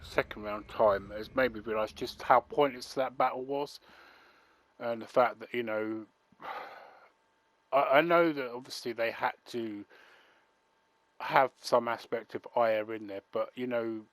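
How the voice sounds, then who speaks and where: A man giving casual, hesitant commentary over a game, critical and full of pauses. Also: mid-range voice, fairly even intonation, a bit bright, no room sound. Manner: hesitant, critical, with fillers and sighs. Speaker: gaming commentator.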